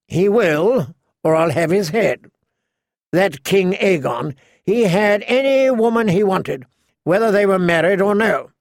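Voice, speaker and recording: A man's voice: sits high, animated, a little slow, no room sound, clean studio sound, pitched above the performer's own voice. A man voicing an arrogant, cruel teenage king in an audiobook, with an entitled, taunting manner. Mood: imperious. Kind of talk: audiobook character voice.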